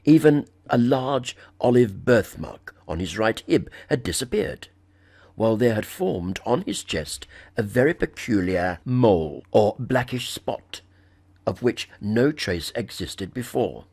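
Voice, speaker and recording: Deep-voiced, husky man reading gothic horror. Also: very animated, unhurried, a little mellow. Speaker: audiobook narrator. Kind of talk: dramatic literary horror story reading.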